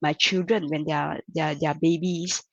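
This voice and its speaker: A woman host from Malaysia in a casual online chat, talking warmly about family life with many fillers. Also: sits low, fairly even intonation, a little fast, dry recording, dull audio. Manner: chatty, relatable, many fillers. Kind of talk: casual live chat interview.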